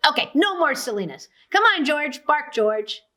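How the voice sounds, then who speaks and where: A woman doing a high, fussing mother dog. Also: sing-song, moderate pace, sharp tone, full character voice. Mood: exasperated. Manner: exclaiming, high and sing-song.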